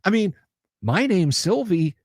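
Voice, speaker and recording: A man hosts a late-night livestream in an unhurried, rambling way, stumbling over repeated words and dropping dry, sarcastic remarks. His voice sits a touch high for a man. The sound is close and dry, with no room echo. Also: big pitch swings, slightly rough. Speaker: livestream host. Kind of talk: casual chat reading viewer comments.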